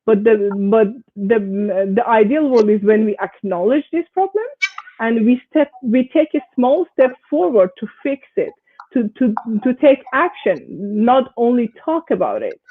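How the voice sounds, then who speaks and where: A woman's voice: on the high side, lively intonation, unhurried. A woman from Iran speaking as a panelist in an online discussion, hesitant with many fillers and restarts. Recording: tinny phone-like call audio.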